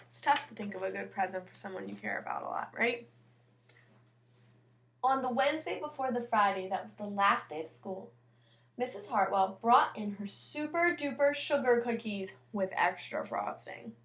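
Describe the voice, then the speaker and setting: A woman teacher reading a picture book aloud, pausing to explain and comment on the pictures as she goes. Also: medium pitch, fairly bright tone. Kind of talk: storybook read-aloud with chatty asides.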